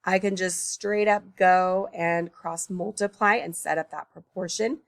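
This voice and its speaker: A woman teaching a recorded math lesson, working problems aloud, thinking out loud and correcting her own slips. She is tired, early in the morning. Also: lowish voice, steady tone, a little slow, vocal fry, no room sound, studio quality.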